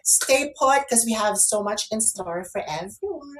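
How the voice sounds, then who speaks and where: A woman's voice: on the high side, lively intonation, a little slow, poor audio. A woman co-hosting a casual online talk show, explaining things and giving advice. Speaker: co-host and makeup artist.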